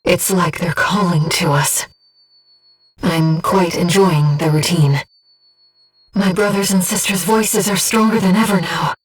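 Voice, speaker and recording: A woman voicing a gentle, earnest disembodied being in a video game, guiding a pilot with composed, precise, softly formal delivery. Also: fairly low pitch, a little slow, husky, recorded from a distance, faint music behind, low-quality recording. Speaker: mission support operator. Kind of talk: scripted video game voice acting.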